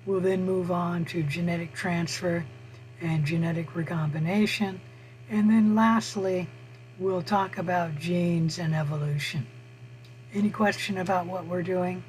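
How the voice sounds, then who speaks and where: A middle-aged man with a hoarse voice, teaching a college biology class on a video call, speaking haltingly with frequent pauses. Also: high voice, fairly even intonation, slight background noise, rough recording. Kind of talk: online lecture over screen share. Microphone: computer mic on a video call.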